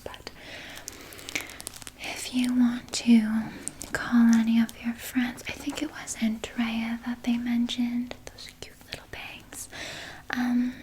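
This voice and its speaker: A woman playing a soothing, evasive vampire nurse in a soft, breathy ASMR whisper, gently coaxing and sweetly sinister. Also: on the high side, slight background noise, lightly acted, rough recording. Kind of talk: whispered ASMR personal attention roleplay.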